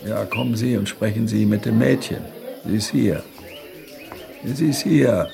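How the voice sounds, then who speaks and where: An actor in a radio play playing a sorrowful, helpless old man who speaks haltingly and wearily, repeating himself. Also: on the low side, dark tone. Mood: sad, pleading. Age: older. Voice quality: creaky.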